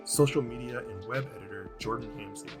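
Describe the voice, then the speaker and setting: A man's voice: mid-range voice, fairly quick, over music. A man reading scripted podcast credits as an announcer.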